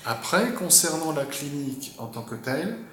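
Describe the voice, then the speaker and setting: A man speaking in a measured, articulate and reassuring way about his experience as a patient's husband in an interview. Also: fairly high pitch, big pitch swings, a bit bright, reverberant, a little hiss or hum. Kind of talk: interview testimony.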